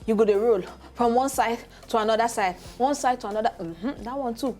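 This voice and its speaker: A Nigerian woman co-hosts a health talk show, her voice a little higher than average. She teases her co-host playfully and laughs often. Light music sits faintly under her voice in the studio. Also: a little fast, darkish tone.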